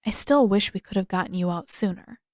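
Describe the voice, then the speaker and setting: A woman's voice: mid-range voice, brisk, darkish tone, dry recording, clean studio sound, natural voice. A woman voicing an earnest, sheepish young boy in an audiobook, hesitant and a little defensive. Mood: earnest, apologetic.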